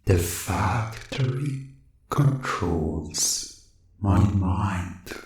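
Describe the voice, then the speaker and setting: Man voicing a slow, echoing robotic factory machine. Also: on the low side, expressive, a little mellow. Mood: blank, obedient. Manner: clipped, mechanical announcements in short phrases. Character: cold robotic male factory programming voice, commanding, emotionless. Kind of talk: hypnosis audio roleplay. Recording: echoing voice over music.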